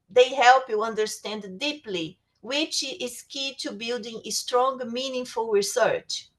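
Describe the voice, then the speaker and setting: Woman speaker, Portuguese native, slightly high voice. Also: fairly bright tone. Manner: reads prepared slides with small restarts. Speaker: webinar speaker. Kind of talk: presenting a lecture on research tools.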